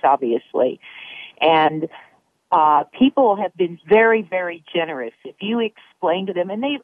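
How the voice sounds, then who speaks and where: A woman guest on a radio talk show, talkative and explaining with examples. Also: mid-range voice. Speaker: product placement guest. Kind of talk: casual radio interview. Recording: narrow phone-like line.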